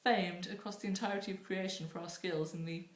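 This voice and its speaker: A woman's voice: on the low side, steady tone, brisk, close to an everyday voice. A woman reading aloud and voicing a chirpy, smug, cruel male henchman, in a roomy room. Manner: cheerful, smug, relishing cruelty. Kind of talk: audiobook reading.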